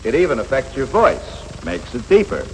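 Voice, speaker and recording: Animated, reassuring 1950s American male instructor played by an actor. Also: mid-range voice, normal speed, lightly acted. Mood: patient, explanatory. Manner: plain-spoken, explanatory, reassuring. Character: patient, matter-of-fact male coach teaching adolescent boys. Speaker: instructor of teenage boys. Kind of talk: 1950s educational film acting. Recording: noisy, reverberant 1950s film soundtrack.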